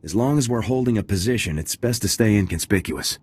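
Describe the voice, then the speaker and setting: Male, game soldier: lowish voice, rapid clipped delivery, tough and wry. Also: a little mellow, husky. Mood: dry, determined. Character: tough, dry-humoured male soldier, military trooper. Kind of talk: video game dialogue.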